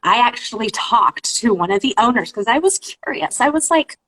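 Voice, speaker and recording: A woman chatting in a casual, animated way on a livestream, calling herself nosy, speaking over a video call. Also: fairly high pitch, normal speed. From Washington State, US. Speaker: co-host.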